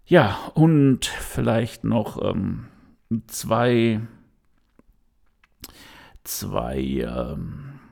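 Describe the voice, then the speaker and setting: Gravelly, hesitant man talking to listeners. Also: on the low side, sing-song, drawn-out words, pauses often, darkish tone. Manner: hesitant, many fillers and restarts; earnest, speaks to listeners directly. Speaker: podcast host. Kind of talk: unscripted opinion monologue.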